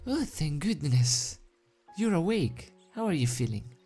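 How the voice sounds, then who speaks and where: A man's voice: fairly high pitch, sing-song, a little slow, gravelly, mellow, breathy edge, voice pushed up, heavily acted. A man acts out a bookish unicorn mare from a cartoon fan story. She is caring and earnest, full of concern, with music playing behind.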